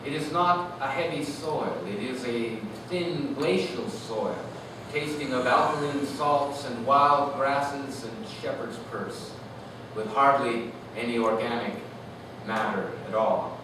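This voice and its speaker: A man giving an animated, lyrical literary reading to an audience, phrasing deliberately. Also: medium pitch. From Canada. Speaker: author reading own work. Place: live reading event in a room. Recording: echoey noisy room, distant mic.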